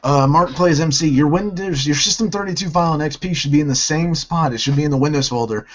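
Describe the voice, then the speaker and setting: A hoarse man from North Carolina hosting a gaming channel, answering viewer questions in a halting way and often restarting his sentences. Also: fairly high pitch, lo-fi sound. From North Carolina, United States.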